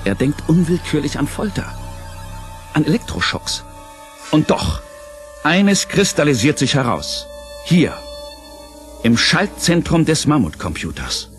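A man narrating a German radio play in a theatrical, deliberate scripted style. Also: on the high side, sing-song, faint music behind.

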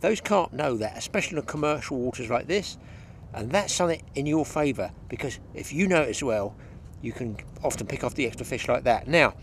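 A man's voice: medium pitch, lively intonation, a little fast, hardly any pauses, slight background noise. A man, hosting a fishing show outdoors at a lakeside and chatting instructively to viewers. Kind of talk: casual outdoor fishing commentary.

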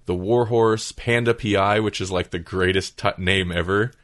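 A man's voice: low voice, fairly even intonation, moderate pace, a bit bright, no echo. Man chatting casually in a dry-sounding room. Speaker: podcast host. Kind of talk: casual podcast chat.

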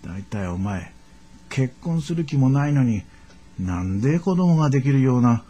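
An actor playing an exasperated middle-aged father in a radio drama, gruff and flustered, with a Kansai accent. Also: medium pitch, big pitch swings, moderate pace, dark tone. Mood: flustered, annoyed. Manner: gruff, stammering in disbelief, repeating himself.

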